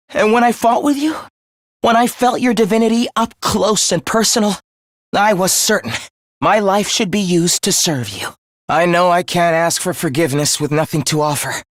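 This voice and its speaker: A man's voice: high voice, dry recording, studio quality. An actor voicing an obsessive young man in a video game, devoted to his comrade as to a god, with theatrical, intense, florid delivery. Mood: rapturous devotion. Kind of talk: English video game voice acting, dramatic monologue.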